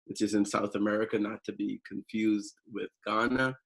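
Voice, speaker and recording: A man from Guyana speaking at a seminar, deliberately slow with fillers. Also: fairly low pitch, little pitch movement, creaky, a bit bright. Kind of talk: online seminar talk.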